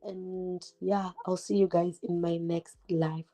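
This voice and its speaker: Woman in her twenties, from Zimbabwe, English not her first language, casual livestream host, low warm voice, asks viewers lots of questions. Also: flat delivery, slow. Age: 20s. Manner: chatty, direct, rhetorical questions, many "like" fillers; multitasking, cooking while talking. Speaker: YouTuber hosting a livestream. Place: home kitchen. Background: fireworks outside.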